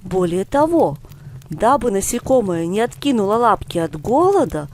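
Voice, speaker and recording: Lady doing a teasing older granny telling a tale, theatrical, very animated pitch. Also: moderate pace, a little hiss or hum, natural voice. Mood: storytelling. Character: theatrical older grandmother, teasing, knowing storyteller.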